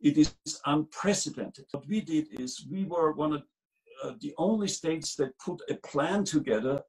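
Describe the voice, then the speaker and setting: A man's voice: on the high side, normal speed, darkish tone, rough recording. A man from Austria speaking earnestly over a video call as a guest in a webinar interview, often restarting his sentences with fillers. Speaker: state trade director, guest speaker.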